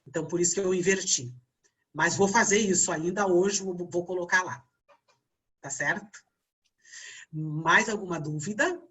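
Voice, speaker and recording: Bright-voiced Brazilian woman teaching. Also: on the low side, normal speed, long pauses, breathy edge. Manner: conversational, checks in with frequent tag questions. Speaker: teacher leading a theatre voice class. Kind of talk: informal online class instruction.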